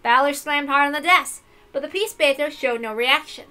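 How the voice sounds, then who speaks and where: A woman's voice: high-pitched, normal speed, sharp tone, faint music behind. A woman reading a visual novel aloud as scripted, dramatic narration.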